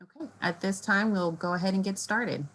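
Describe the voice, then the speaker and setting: A woman moderating a webinar, making polite, measured opening announcements. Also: medium pitch, a bit bright, poor audio.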